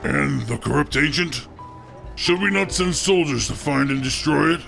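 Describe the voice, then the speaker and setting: A stern Horde warrior played by a man with a heavily gravelled, creaking voice. He is gruff and dutiful, speaking in a fantasy radio drama with faint music behind him. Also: fairly high pitch, expressive, moderate pace, a bit bright, heavily acted. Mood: questioning. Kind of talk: fantasy radio play acting.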